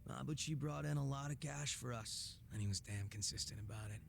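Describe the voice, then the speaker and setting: Gravelly, sardonic ex-gang boss. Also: male voice, medium pitch, brisk, noisy background. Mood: matter-of-fact. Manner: teasing, casual, profane. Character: sardonic, laid-back former male gang boss. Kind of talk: English video game cutscene acting. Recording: music under the dialogue.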